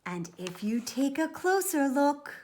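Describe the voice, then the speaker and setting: A woman's voice: high-pitched, big pitch swings, unhurried, a little mellow. A woman reading a children's picture book aloud expressively, with dramatic, careful emphasis. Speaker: storybook reader.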